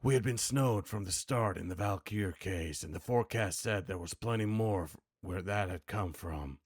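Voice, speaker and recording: A man voicing a brooding, world-weary hard-boiled detective from a noir video game, with a grim, deliberate delivery. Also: sits low, darkish tone, husky, dry recording, studio quality.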